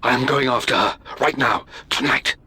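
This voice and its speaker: A man's voice: mid-range voice, normal speed, pushed and bright, breathy edge. An actor playing a middle-aged British scholar, earnest and emotive, reading his journal as a game voice-over. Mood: desperate resolve. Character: obsessive scholarly British father, earnest and brooding.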